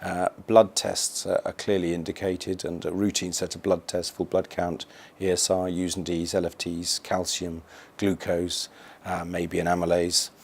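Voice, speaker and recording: A man speaking as a specialist doctor, giving measured explanatory interview answers for an educational film. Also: low-pitched, completely flat delivery, gravelly, slightly rough.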